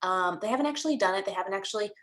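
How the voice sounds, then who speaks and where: A woman's voice: medium pitch, lively intonation, racing, fairly bright tone. Woman interviewer, chatty and friendly, kinda rambles through her questions. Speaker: interviewer, fellow counselor.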